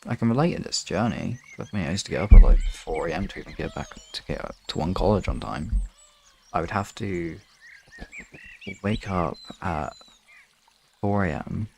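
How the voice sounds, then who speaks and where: A young man chatting casually on a livestream in a rambling way, sometimes slipping into soft baby talk. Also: deep voice, expressive, normal speed, long pauses, slight background noise. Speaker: live streamer.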